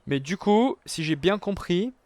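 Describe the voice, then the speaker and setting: A man's voice: sits high, expressive, measured pace, a little mellow. Chatty young man narrating his gameplay. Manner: chatty, talks to viewers as "les gars", many fillers. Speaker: gaming youtuber. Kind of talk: casual let's-play commentary.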